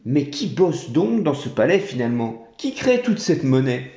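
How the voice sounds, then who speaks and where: A man playing a calm, probing male traveller in a storybook tale, dry and inquisitive. The tone is mellow and the pitch lively. The sound is roomy and a little dull. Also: a little slow. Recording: roomy, dull home recording.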